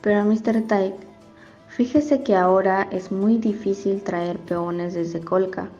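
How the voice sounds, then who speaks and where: A woman's voice: medium pitch, fairly even intonation, slow, mellow. A woman playing a hesitant, deferential male labour contractor pleading his case in an amateur radio serial. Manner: deferential, explaining. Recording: dull lo-fi audio with background music.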